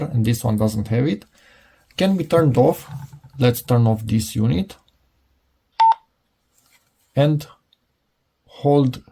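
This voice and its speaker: A man's voice: medium pitch, vocal fry, dark tone. A middle-aged man giving a casual, unscripted product demonstration, hesitant and rambling as he thinks aloud. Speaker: product reviewer.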